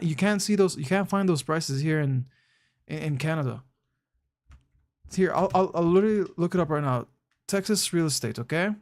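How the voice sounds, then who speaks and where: Laid-back young man chatting on stream. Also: fairly high pitch. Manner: laid-back, slangy, calls chatters bro; thinks aloud with frequent restarts. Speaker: live streamer. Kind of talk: casual live-stream chat answering viewers.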